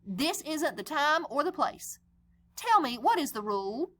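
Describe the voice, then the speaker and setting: A patient female sea-creature teacher, played by a woman reading aloud, instructing with gentle firmness. Her voice is bright and expressive, the delivery measured in storybook fashion. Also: high voice, heavily acted. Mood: admonishing. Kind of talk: children's picture-book read-aloud.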